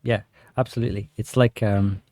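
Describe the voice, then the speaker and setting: A man's voice: lowish voice, fairly even intonation, fairly quick, a little mellow, no room sound, studio quality. A man explaining a product conversationally as an interview guest, with enthusiasm and everyday examples. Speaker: interview guest, sales director.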